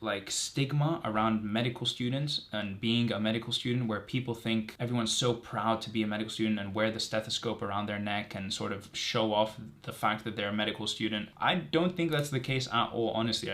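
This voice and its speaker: A young man with a fairly low voice talks casually, as if straight to his viewers. He is from Greece and works through his points earnestly. He leans on key words and often repeats them for stress. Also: a bit bright. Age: 20s. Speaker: medical student vlogger. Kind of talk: casual direct-to-camera chat, answering assumptions.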